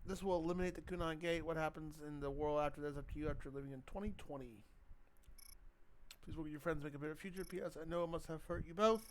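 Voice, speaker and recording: A man's voice: a little high, fairly even intonation, quick pace, poor audio. A man casually reacting to a game and reading its text aloud, stumbling over words and swearing now and then. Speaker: let's-play streamer.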